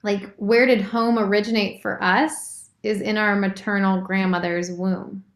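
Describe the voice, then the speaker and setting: A woman's voice: medium pitch, steady tone, a little slow. A woman guest in a casual conversation. She thinks aloud in a reflective way and uses many fillers. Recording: roomy, echoey sound.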